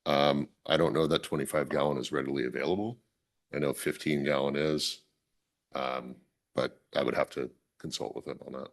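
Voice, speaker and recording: A man with an extremely low voice, raspy and worn after a long weekend. He speaks at a public planning meeting, explaining his project and often restarting his sentences. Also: flat delivery, moderate pace. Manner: self-correcting, restarts often. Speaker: applicant presenting a site plan.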